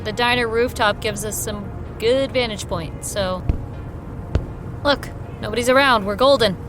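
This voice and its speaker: A woman's voice: a little high, a little slow, over music, lightly acted. A woman playing a confident, upbeat female lookout in an audio drama.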